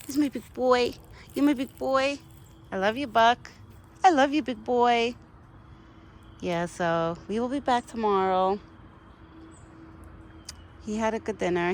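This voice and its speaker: A middle-aged woman chatting casually outdoors to her viewers. She keeps breaking into high sing-song baby talk for an animal. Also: slight background noise. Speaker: vlogger talking to a wild deer. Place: outdoors in a yard.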